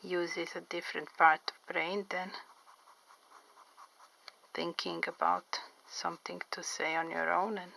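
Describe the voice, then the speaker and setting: A middle-aged woman, not a native English speaker, chatting slowly and carefully about her drawing, enunciating every vowel clearly. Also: fairly low pitch, fairly even intonation, sharp tone, a little hiss or hum, low-quality recording. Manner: slow, careful, clearly enunciated, pauses often. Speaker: artist and interpreter. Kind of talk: drawing tutorial vlog chat. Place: home studio by a window.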